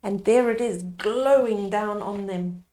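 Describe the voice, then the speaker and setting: A woman's voice: medium pitch, slow pace, dark tone, echoey room. A woman reading a bedtime storybook aloud expressively, explaining it to young listeners as she goes. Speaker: children's story reader.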